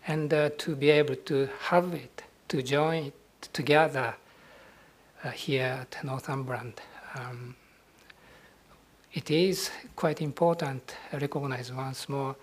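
A middle-aged Japanese man speaks with a Japanese accent in a gentle, husky voice. As a Buddhist monk giving a ceremonial talk, he searches for his words with frequent pauses and soft hesitations. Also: mid-range voice, fairly even intonation. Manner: soft-spoken, hesitant, with many pauses and fillers.

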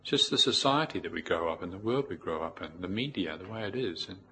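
Casting: New Zealand male, middle-aged monk, low voice, measured informal teaching, some background noise. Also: animated, pushed and bright, low-quality recording. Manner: reflective, measured with pauses. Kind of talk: informal spoken dharma talk with personal anecdotes.

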